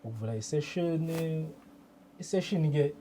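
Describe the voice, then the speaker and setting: A man's voice: a little high, animated, normal speed, heavy background noise. A man with a South African accent explaining things informally as a radio drama technician, switching often into English. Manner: mixes English into Zulu. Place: indoor radio studio.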